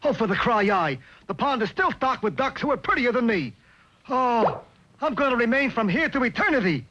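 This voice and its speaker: A man voicing a young ugly duckling in an old cartoon, a wisecracking, slangy complainer with a creaky, sharp voice. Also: extremely high pitch, sing-song, normal speed, dull audio, heavily acted. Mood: glum, exasperated. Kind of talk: 1960s cartoon voice acting. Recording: noisy old TV cartoon soundtrack.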